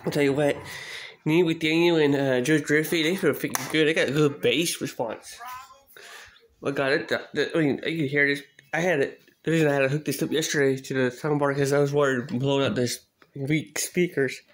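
A man chatting casually in a home video, halting and repeating himself as he shows off a collection. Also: on the high side, steady tone. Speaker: cassette collector showing a collection. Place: small bedroom, at a closet, indoors. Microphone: handheld phone camera, speaker behind it. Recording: noisy handheld home recording, clicks.

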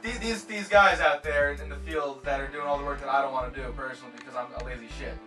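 A man's voice: medium pitch, fast, echoey room, distant mic, music in the background. A man on a podcast couch with a handheld mic, pushing back with questions and stumbling over his words to get a word in. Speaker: podcast co-host. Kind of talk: casual debate.

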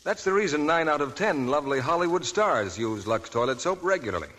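A man's voice: fairly high pitch, lively intonation, fairly quick, fairly bright tone. A man delivering scripted soap advertising as a 1940s radio announcer.

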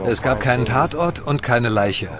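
A man's voice: medium pitch, lively intonation, normal speed, natural voice. A man voicing a police investigator recounting a case in a dubbed documentary interview.

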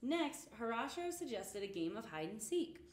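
A young woman reading a children's picture book aloud in an expressive storytelling way. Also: on the high side, moderate pace. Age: young adult. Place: indoor room with painted rainbow backdrop. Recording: roomy, echoing indoor sound.

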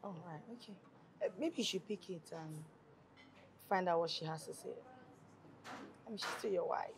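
A woman's voice: fairly low pitch, moderate pace, creaky, slight background noise, low-quality recording. A woman playing a guarded, sceptical ex-wife in a film drama. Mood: cool. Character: guarded, sceptical ex-wife being courted again.

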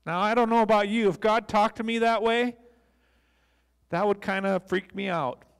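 A man's voice: sits high, fairly even intonation, normal speed, gravelly, clean tone. A middle-aged American man preaching a sermon as a pastor, speaking into a handheld microphone on a church stage. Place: church stage, large indoor room. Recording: long echoey hall reverb.

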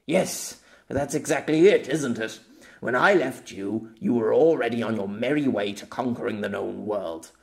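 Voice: mid-range voice, moderate pace, vocal fry, lightly acted. A storyteller voicing a patient, world-weary, sceptical man in an audio novel, reasoning in a dry, pedantic way.

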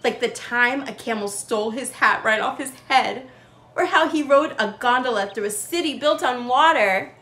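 A woman's voice: fairly high pitch, moderate pace, bright, forceful tone. A young adult woman reading a picture book aloud expressively as a children's storytime host. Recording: roomy, with faint background noise.

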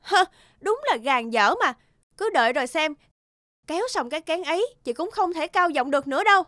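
A woman's voice: sits high, lively intonation, fairly quick, sharp tone, dry recording, studio quality. A woman voicing a spiteful, mocking female spider in a cartoon, with a sneering, taunting delivery. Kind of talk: cartoon voice acting.

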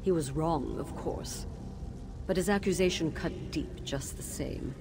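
An actress voicing the ghost of a tormented woman teacher in a video game, speaking in a measured, grave and sorrowful way. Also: deep voice, music in the background. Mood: grateful. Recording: game audio with steady background noise.

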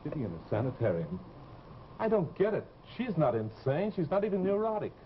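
A man's voice: fairly high pitch, wildly swooping pitch, a little fast. An actor playing a worried, insistent fiancé in his thirties, blunt and urgent, in 1950s television drama style. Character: insistent, worried young fiancé demanding answers. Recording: dull, noisy old TV soundtrack.

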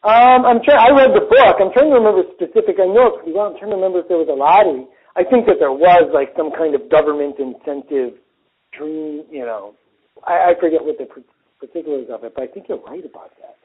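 An American man with a husky voice chatting casually with a friend, agreeable and a little halting. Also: fairly high pitch. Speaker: podcast co-host. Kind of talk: casual phone chat between friends. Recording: muffled lo-fi phone-call audio.

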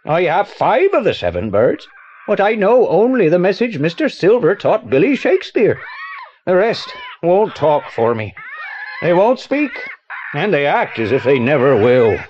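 Hot-tempered British art dealer, theatrical, very animated pitch, slightly dull recording, audio play. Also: male voice, unhurried, slight background noise. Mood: frustrated. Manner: excitable, theatrical, well-spoken. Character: eccentric, hot-tempered middle-aged male art dealer. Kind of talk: audio play acting for children.

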